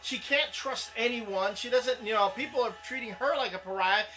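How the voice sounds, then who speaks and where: A man's voice: extremely high pitch, normal speed, sharp tone, faint music behind. A man co-hosting a casual comic book talk show, rambling and restarting as he defends his opinion. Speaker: co-host.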